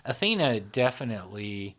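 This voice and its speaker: A man in casual interview conversation, talking in a relaxed, rambling way with many fillers and restarts. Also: fairly low pitch, moderate pace. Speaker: channel founder, interviewee.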